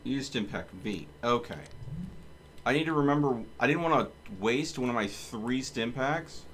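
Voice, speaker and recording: A man's voice: mid-range voice, expressive, moderate pace, fairly bright tone, a little hiss or hum. A man doing live gameplay commentary as a streamer, talking to himself in short running remarks. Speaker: game streamer. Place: indoors, streaming a game.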